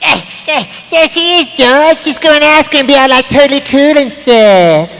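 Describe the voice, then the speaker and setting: A very high, squeaky young pal, pushy and eager. It is live comedy acting in a reverberant hall with some crowd sound. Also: male voice, normal speed, fairly bright tone. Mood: eager nudging. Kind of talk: live comic script reading.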